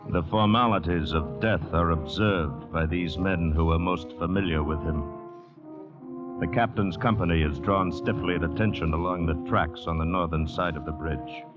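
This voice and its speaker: A man narrating a vintage radio drama in a grave, measured storytelling style. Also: low-pitched. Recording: dull old radio recording, music behind.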